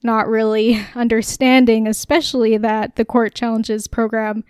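A woman's voice: a little high, flat delivery, normal speed, a little mellow, clear, resonant tone, dry recording, clean studio sound. A woman co-hosting a casual political podcast, explaining at length with a wry, sarcastic edge and frequent laughs. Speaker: podcast co-host.

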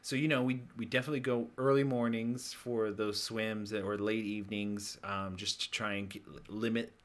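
A man in his thirties with a deep voice, co-hosting a casual podcast chat in a laid-back, chatty way and laughing often. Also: level tone, moderate pace. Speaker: podcast co-host.

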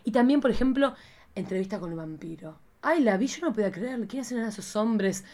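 Female host, Argentine accent. Highish voice, exclamatory and campy, chatting informally with dramatic emphasis. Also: animated, brisk, a little mellow. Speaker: podcast host. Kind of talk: casual conversational chat.

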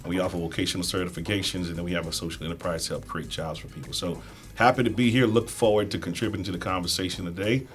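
Man, middle-aged or older, executive guest, low voice, rapid conversational delivery. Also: steady tone, soft background music. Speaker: guest, nonprofit chief executive. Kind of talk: introducing himself in panel talk.

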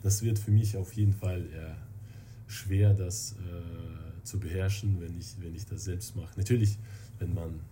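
Man, low voice, Russian accent, halting non-native speech, casual interview chat, noisy background. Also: steady tone. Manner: halting, searching for words in non-native German.

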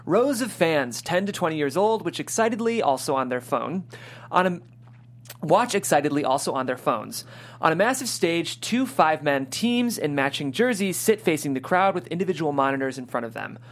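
A man with a somewhat high-set voice hosts a table read. He moves between presenting the show and narrating stage directions from a script. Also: animated, normal speed.

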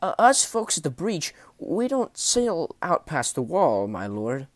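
A man's voice: a little high, wildly swooping pitch, a little slow, no room sound, voice pushed up. A man voicing a frightened, servile sailor in a story, stammering and hesitant as he pleads. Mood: nervous, pleading. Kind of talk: audiobook character voice.

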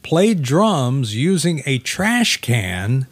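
A man's voice: mid-range voice, big pitch swings, very slow, no room sound. Male radio co-host, American, mid-range voice in a very dry studio sound, enthusiastic casual music talk. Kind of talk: casual chat with music trivia.

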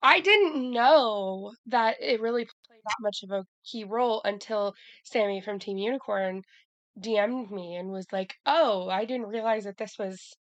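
A woman co-hosting a casual podcast chat, hoarse from a cold, talking in a chatty way with lots of restarts. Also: mid-range voice, a little slow, no room sound. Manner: chatty, many fillers and restarts. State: hoarse from a cold, losing her voice. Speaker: podcast co-host.

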